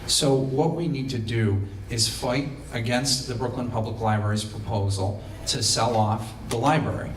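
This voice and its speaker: A man who is a political candidate giving an off-the-cuff debate answer, with halting, hesitant phrasing. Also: medium pitch. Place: college auditorium public debate. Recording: echoey hall, distant mic, background noise.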